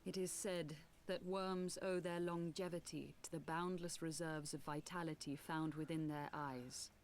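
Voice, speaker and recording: An actress playing a solemn noble rebel woman in a fantasy game, telling tragic history in formal, archaic language with measured delivery. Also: deep voice, fairly even intonation, a little hiss or hum. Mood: mournful storytelling. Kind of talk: theatrical fantasy game acting.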